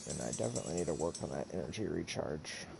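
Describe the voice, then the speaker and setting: A man doing casual live game stream commentary in a halting, rambling way. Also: extremely low pitch, lively intonation, creaky, heavy background noise. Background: video game music.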